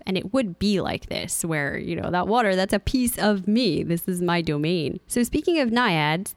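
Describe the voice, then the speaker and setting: A woman's voice: lowish voice, no echo. A woman co-hosting a podcast, mixing casual chat with a prepared, informative segment full of asides. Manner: informative, reads notes with frequent asides and laughs. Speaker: podcast co-host.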